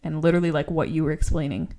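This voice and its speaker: A woman's voice: low-pitched, animated, racing, dark tone. Earnest rambling young woman podcaster. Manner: earnest, rambling, laughs often, uses "like" a lot. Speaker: podcast co-host. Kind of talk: candid faith-based podcast chat.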